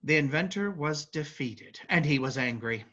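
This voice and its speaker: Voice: medium pitch, animated, normal speed, a bit bright, highs cut off. A performer playing a chatty narrator telling a folk tale in a staged reading, with conversational asides. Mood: storytelling. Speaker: narrator of a play. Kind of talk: theatrical storytelling in a staged reading.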